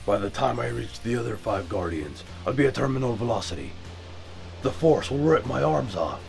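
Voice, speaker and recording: A man playing a brooding, guarded vigilante in an audio drama, terse and controlled with a gravelly voice. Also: sing-song, normal speed, soft background music. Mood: tense, calculating. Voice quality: low, gravelly.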